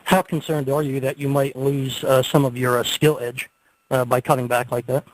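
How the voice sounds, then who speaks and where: A man's voice: mid-range voice, flat delivery, normal speed. A man working as a sports reporter, asking questions on a press call in hesitant, broken-up phrases. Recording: faintly noisy conference call line.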